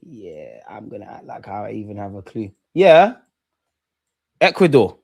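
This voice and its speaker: A young man with a London accent hosting a football watch-along, chatting casually and excitably in slang with his viewers. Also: on the low side, moderate pace, gravelly, breathy edge. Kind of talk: casual live reaction commentary and chat with viewers.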